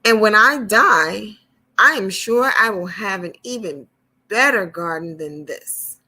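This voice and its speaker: Woman voicing a proud, boastful rich man, unhurried. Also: mid-range voice, animated, sharp tone, exaggerated voice, poor audio. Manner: boastful, overconfident. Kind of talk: children's storybook reading.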